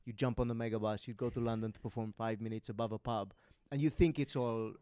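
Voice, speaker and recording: A man chatting casually as a comedy podcast host, jokey and a little halting as he rephrases his thoughts. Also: fairly low pitch, fairly even intonation, darkish tone, smooth, dry recording, clean studio sound. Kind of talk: casual comedic interview chat.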